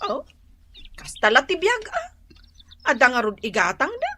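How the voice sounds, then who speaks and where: Actress doing a gossipy envious neighbor woman, high voice, lively up-and-down pitch, complaining, radio drama. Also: background noise. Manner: complaining, gossipy, quick retorts.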